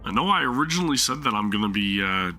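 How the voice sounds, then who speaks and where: A man's voice: fairly low pitch, lively intonation, fast, bright, forceful tone, faint music behind. A man streaming a game live, chatting casually while his sore throat makes him lose his voice, rambling and apologizing often. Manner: apologetic, rambling, many restarts.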